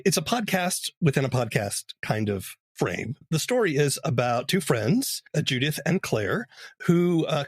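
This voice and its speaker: Husky man hosting an interview podcast. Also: medium pitch, no echo, studio quality. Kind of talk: conversational explanatory hosting.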